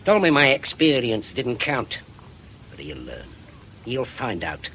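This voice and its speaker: Older male, embittered ex-inspector, gruff, sharp forceful tone, very animated, radio drama, faint background noise. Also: medium pitch, unhurried. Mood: bitter, defiant. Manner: gruff, colloquial, insistent. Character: embittered, stubborn old retired police inspector hunting witches.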